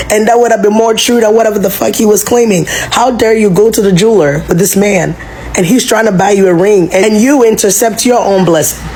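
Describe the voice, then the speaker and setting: A woman's voice: mid-range voice, mellow, a little hiss or hum, rough recording. A woman from Ghana with a sore throat, giving teasing, incredulous commentary. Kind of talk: reaction commentary video.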